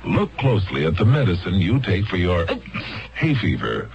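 A man's voice: sits low, sing-song, moderate pace, creaky. A man reading a 1970s radio commercial as a professional announcer. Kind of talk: 1970s radio advertising pitch. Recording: dull 1970s radio recording with music.

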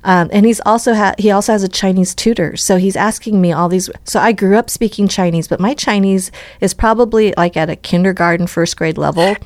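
A woman's voice: medium pitch, a little fast, few pauses, no room sound. Upbeat affirming woman host, dry sound. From United States. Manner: warm, affirming, laughs often. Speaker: podcast host. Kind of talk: casual interview chat.

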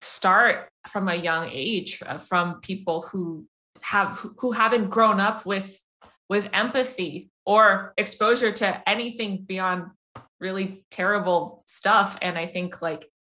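A young woman who makes animated films, answering interview questions in a casual, halting way with many restarts. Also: mid-range voice. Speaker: animation filmmaker.

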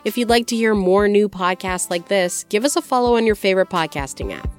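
A woman's voice: medium pitch, a little fast, music in the background. A woman hosting a podcast, presenting in a scripted radio style. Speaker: podcast host.

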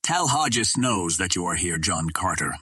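Man playing a husky, solemn alien warrior chieftain. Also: deep voice, sing-song, unhurried, bright, forceful tone, no room sound, clean studio sound, full character voice. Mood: grave, resolute. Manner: solemn, formal speech. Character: grim, loyal male green Martian warrior chieftain.

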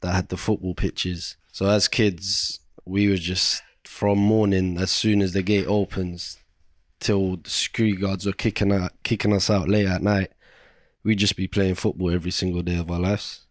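Low-voiced London man, joking storyteller. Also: little pitch movement, normal speed, no room sound, clean studio sound. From Iraq. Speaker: podcast co-host. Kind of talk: casual chat.

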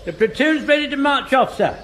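An eager old english corporal, high voice going up and down, radio sitcom, noisy background. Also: male voice, expressive, a little fast. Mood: keen. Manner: keen, helpful, shouting orders. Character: eager, excitable old Home Guard corporal. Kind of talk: British radio sitcom acting.

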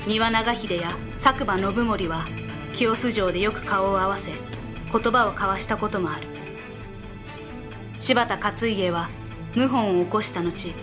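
A woman acting as the solemn narrator of a historical audio drama. Her medium-pitched voice recounts samurai history as scripted storytelling, with clear music behind it. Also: measured pace, long pauses, lightly acted.

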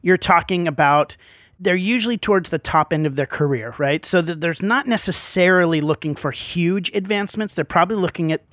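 A man's voice: fairly high pitch, fairly bright tone, no room sound, studio quality. A man in his forties co-hosting a business podcast, easygoing and agreeable in casual talk. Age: 40s. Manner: easygoing, agreeable, laughs often. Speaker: podcast co-host.

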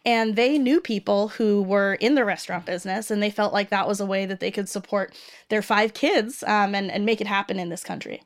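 A woman's voice: medium pitch, fairly quick. A woman from the Boston area, a restaurant owner, explaining things in an upbeat, casual way with frequent ums. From Boston area, United States. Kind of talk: casual conversation and cooking demo.

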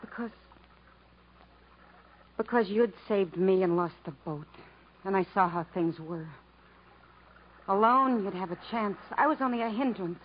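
A woman's voice: medium pitch, unhurried, long pauses, lightly acted. An actress playing a worn-down, fatalistic woman on the run, wistful and wry, in 1950s radio drama style. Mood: wistful, resigned. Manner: wistful, wry, world-weary. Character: worn-down, bitter, fatalistic woman fleeing through the jungle. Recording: muffled, hissy 1950s radio broadcast.

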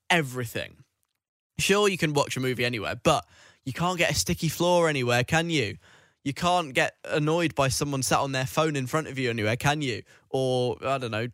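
A man's voice: medium pitch, a bit bright, no room sound, studio quality. A man presenting a radio show in a casual, rambling, tongue-in-cheek conversational way.

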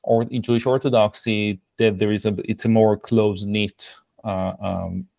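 A man's voice: lowish voice, flat delivery, normal speed, vocal fry, a little mellow, dry recording. A middle-aged man from Israel with an Israeli accent, answering conversationally as a podcast guest in a reflective, explanatory way. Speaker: podcast guest, product coach.

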